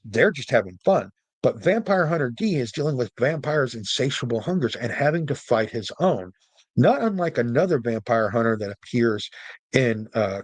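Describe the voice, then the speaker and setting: A man's voice: medium pitch, husky, dry recording. A man giving casual solo commentary as a podcast host, informal and opinionated, with joking asides.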